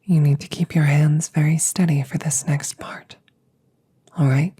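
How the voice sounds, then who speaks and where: A woman playing a calm, reassuring damaged female android in an ASMR audio roleplay, speaking in soft, breathy whispers with measured, formal phrasing. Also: low voice, heavily acted. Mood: calm, instructing. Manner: slow, measured, precise formal phrasing with pauses.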